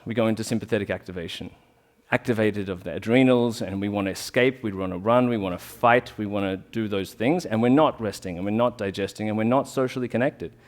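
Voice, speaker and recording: Man, lowish voice, earnest informal talk, restarts sentences. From Australia. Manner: earnest, reflective, with restarts and fillers. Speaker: talk speaker, rolfer.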